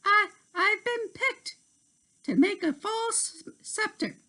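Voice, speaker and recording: Guy doing a tiny girl fairy from a storybook, squeaky high, hot tempered and petulant. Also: animated, slow, pushed and bright, heavily acted. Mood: eager, flustered. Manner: exclamatory, petulant. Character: feisty, quick-tempered little female fairy.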